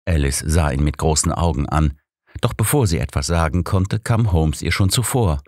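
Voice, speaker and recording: A man narrates a story in a low voice. His intonation moves in a lively way, and the sound is very dry, as in an audiobook. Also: normal speed, no room sound. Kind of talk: scripted first-person story narration.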